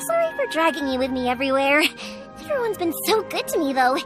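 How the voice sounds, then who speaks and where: An actress playing a cheerful, energetic young woman in an anime-style video game, chatty, expressive and earnest. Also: high voice, fast, over music.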